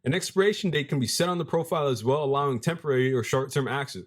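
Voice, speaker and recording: A young man with a deep voice giving casual, animated commentary as a crypto video host, reading passages aloud and using slang. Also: very fast. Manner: animated, hype-driven, street slang, restarts mid-phrase.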